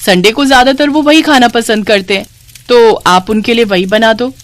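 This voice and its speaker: A woman voicing a spiteful, arrogant young sister-in-law in a family story, with a shrill, dramatic, accusing manner. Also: normal speed, dry recording, natural voice. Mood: sly, fake surprise.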